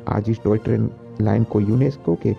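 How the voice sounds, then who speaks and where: A man's voice: fairly low pitch, steady tone, moderate pace, vocal fry, dull audio, faint music behind. A young man narrating a travel vlog as its host. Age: young adult. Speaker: travel vlogger.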